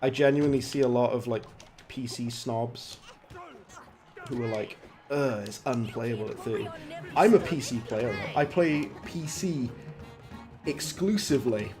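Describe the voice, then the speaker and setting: A man with a Welsh accent streams gameplay from home, chatting easily with his viewers. His voice sits at a medium pitch, and he laughs often as he goes. Game music and effects play clearly behind him. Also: unhurried, pauses often. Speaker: gaming streamer. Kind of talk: live gameplay commentary. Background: game music and sound effects.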